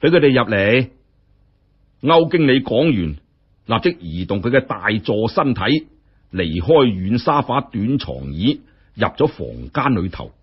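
A man telling a story in colloquial, expressive narration with wry asides. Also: fairly low pitch, a little slow, husky. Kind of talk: dramatic audiobook-style narration. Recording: dull, muffled lo-fi audio.

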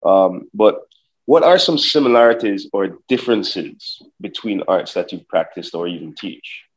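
A man hosting a video call interview, asking questions in a halting way and repeating words. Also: deep voice, lively intonation, poor audio. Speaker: interview host. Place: indoor home gym. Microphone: video call, webcam close-up.

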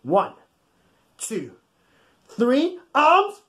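A man's voice: very high voice, huge pitch swings, heavy background noise. A man in his thirties reading aloud to young children in a playful, exaggerated, encouraging way, recorded in a small room at home. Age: 30s. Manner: addresses listeners with questions and pauses. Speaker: children's bedtime storyteller. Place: small home room full of soft toys.

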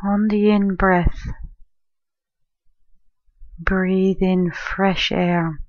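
A woman guides a meditation at a very slow pace, with long pauses between gentle phrases. Her delivery is soothing and unhurried. The recording is dry and a little dull. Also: medium pitch, steady tone, dry recording. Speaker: meditation guide, life coach and therapist.

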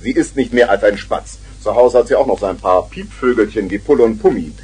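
An actor playing a talkative, playful uncle with mock-grand exclamations in a 1970s radio play. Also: male voice, fairly low pitch, a little fast, darkish tone, breathy edge. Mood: jovial, teasing. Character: boisterous, talkative joker uncle of two children. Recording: noisy old vinyl radio play.

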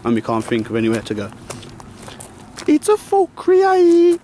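A man's voice: very high voice, huge pitch swings, normal speed, warm tone. A young man narrating a casual vlog at night by old train tracks, slangy and swearing as he jokes. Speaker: vlogger. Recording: noisy outdoor camera audio.

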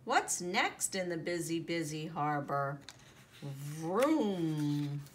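A woman reading a children's picture book aloud in an expressive, deliberate storytelling manner, drawing out the sound words. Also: low-pitched. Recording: noticeable background noise, home recording.

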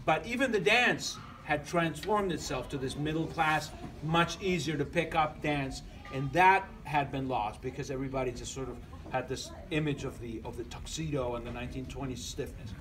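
A middle-aged man from Argentina with an Argentine accent, giving a relaxed, joking lecture to an audience and often restarting his phrases. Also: mid-range voice, normal speed. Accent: Argentine Spanish. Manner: conversational, joking, many restarts. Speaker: musician lecturer.

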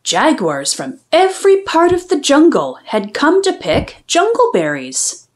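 A woman storyteller reading a picture book aloud to young children in an expressive, deliberate, sing-song way. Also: high voice.

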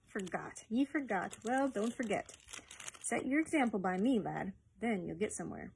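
A woman's voice: medium pitch, expressive, moderate pace, creaky, darkish tone, slight background noise, poor audio. A woman voicing a stern, pompous older male civil servant who lectures with emphasis in a storybook reading. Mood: lecturing.

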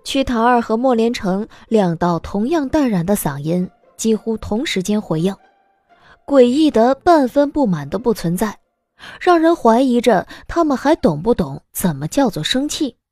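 A woman's voice: fairly high pitch, sing-song, moderate pace, dry recording. A woman narrator reading a story in a dramatic audiobook style. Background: soft background music.

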